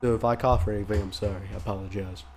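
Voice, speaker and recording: A man's voice: lowish voice, steady tone, racing, heavy background noise. A young man with a cold, sounding congested, rambling nervously through a horror game on his channel. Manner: nervous rambling, panicky outbursts. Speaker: gaming youtuber. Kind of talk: let's play commentary.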